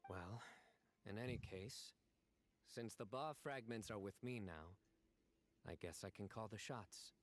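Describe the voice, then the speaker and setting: An actor playing a stern, composed young general in a video game, speaking in a measured, formal and deliberate way. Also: male voice, lowish voice. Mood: calm, resolute. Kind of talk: scripted video game dialogue.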